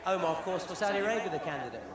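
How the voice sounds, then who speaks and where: Relaxed co-commentator, echoey and far. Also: male voice, high voice, very fast. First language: English. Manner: easygoing, self-deprecating agreement. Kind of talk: color commentary. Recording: echoey arena, distant mic, loud crowd. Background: loud crowd.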